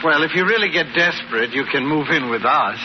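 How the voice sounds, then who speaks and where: Actor as high-voiced, swooping, genial radio neighbor. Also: male voice, expressive, fairly quick, natural voice. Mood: friendly, chatty. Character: genial middle-aged neighbor man, eager amateur carnival fortune teller. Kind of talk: 1940s-50s radio sitcom acting. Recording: dull, noisy old-time radio recording.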